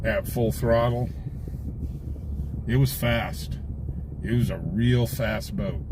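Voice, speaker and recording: Clear vehicle noise surrounds an American man talking live from his car. His voice sits at a middling pitch and he takes his time. He chats casually with his viewers and rambles through anecdotes. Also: slow pace. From United States. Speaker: livestream host, scanner-chasing reporter. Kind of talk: casual chat with livestream viewers. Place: inside a car, live on patrol. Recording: raw livestream, loud vehicle noise.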